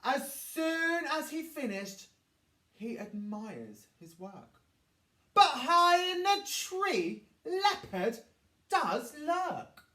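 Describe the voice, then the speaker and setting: A woman reading a rhyming children's story aloud in a dramatic, expressive way. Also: high voice, drawn-out words. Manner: dramatic, expressive, addresses young listeners. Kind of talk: animated rhyming storybook narration. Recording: echoey room, distant from mic.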